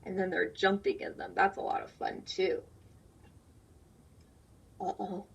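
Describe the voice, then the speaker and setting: A woman's voice: medium pitch, little pitch movement, a little slow, vocal fry, slightly rough. A woman teacher doing a read-aloud lesson for young children, explaining things simply and putting questions to her listeners. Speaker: teacher reading a picture book aloud to children. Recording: dull, lo-fi sound, distant from mic.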